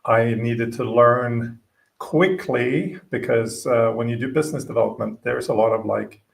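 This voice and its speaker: A man from Finland whose first languages are Swedish and Finnish, a podcast guest chatting casually, halting with many fillers and restarts. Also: medium pitch, gravelly, recorded from a distance. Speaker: podcast guest, gym owner. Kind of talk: casual interview chat.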